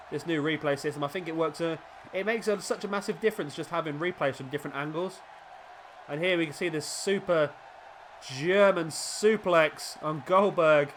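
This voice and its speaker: Man giving excitable game commentary. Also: fairly high pitch, slight background noise. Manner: excitable, rising during big moves. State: sniffly with a cold. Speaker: gaming YouTuber commentating a wrestling game. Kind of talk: play-by-play commentary.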